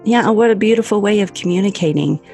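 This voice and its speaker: Female podcast host, gravelly and encouraging, with faint music in the background. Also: medium pitch, fast talker, warm tone. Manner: enthusiastic, encouraging. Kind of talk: interview hosting.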